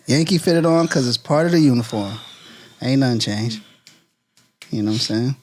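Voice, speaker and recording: A man's voice: medium pitch, normal speed, a little mellow. A man co-hosting a podcast, teasing and laughing. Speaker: podcast co-host. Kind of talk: casual chat.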